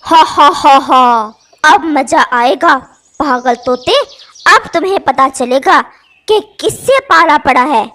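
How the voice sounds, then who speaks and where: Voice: high voice, slow, bright, forceful tone, voice pushed down, full character voice. Someone doing a sly, scheming uncle owl. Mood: gloating. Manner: oily, scheming. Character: sly, flattering adult male owl schemer.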